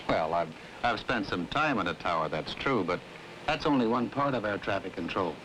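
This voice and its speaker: Earnest, low-voiced American government specialist. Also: male voice, lively intonation, fairly bright tone, rough recording. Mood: explaining. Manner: explanatory, measured, earnest. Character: affable, earnest government air traffic control specialist. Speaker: actor as air traffic specialist. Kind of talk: scripted 1960s educational film dialogue and narration. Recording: old 1960s film soundtrack, faint noise.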